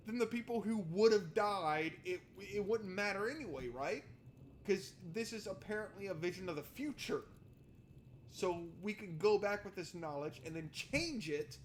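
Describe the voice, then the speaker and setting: A man's voice: high-pitched, a little hiss or hum. A man doing let's play commentary on a visual novel, reading narration aloud and heckling it in a sarcastic, exasperated, sweary way. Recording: music behind the voice. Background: game music.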